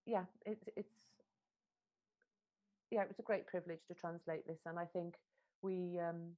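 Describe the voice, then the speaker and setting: A woman's voice: fairly low pitch, fairly even intonation, normal speed, highs cut off. A woman from Wales, speaking earnestly and with passion as a translator in a panel discussion, often reworking her phrases. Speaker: literary translator.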